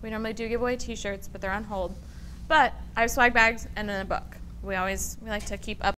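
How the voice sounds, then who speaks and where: A woman hosting a tech meetup and making casual announcements to a room in an upbeat, chatty, loud way. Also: mid-range voice, steady tone, a little fast, a little hiss or hum, poor audio. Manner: upbeat and chatty, says she has a loud voice. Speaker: meetup organizer and host. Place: meeting room with an audience.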